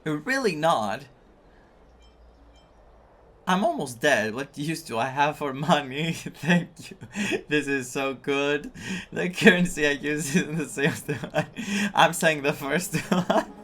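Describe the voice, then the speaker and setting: A woman's voice: low-pitched, lively intonation, slow. A woman giving casual playthrough commentary as a gaming streamer, with amused, wry asides. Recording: faint game music behind voice.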